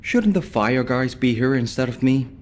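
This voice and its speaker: A man's voice: medium pitch, expressive, normal speed, slight background noise, natural voice. An actor voicing a sardonic, grumbling male field specialist in a game cutscene.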